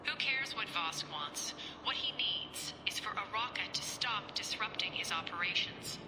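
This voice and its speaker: A woman's voice: medium pitch, lively intonation, measured pace, background noise, natural voice. A woman voicing a cool, menacing alien crime boss in a video game, scornful and sardonic. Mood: dismissive. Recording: roomy echo, music under the dialogue.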